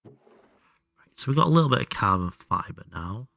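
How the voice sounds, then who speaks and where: Low-voiced, sweary man streaming a game. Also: very animated, fairly quick, creaky, a bit bright, no room sound. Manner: sweary, self-deprecating. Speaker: live streamer playing a video game. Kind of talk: casual live game commentary, chatting with viewers.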